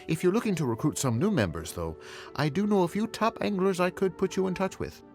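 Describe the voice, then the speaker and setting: A man's voice: high voice, expressive, fairly quick, a little mellow, soft background music. A man voicing a friendly middle-aged fishmonger who chats in a folksy way. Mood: chatty. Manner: chatty, folksy.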